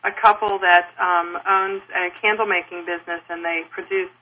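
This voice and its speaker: Woman host, bright tone, long wandering questions with lots of "you know" and "um". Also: on the low side, fairly even intonation, normal speed, rough recording. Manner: rambling questions full of fillers. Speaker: interview host. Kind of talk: casual interview questions.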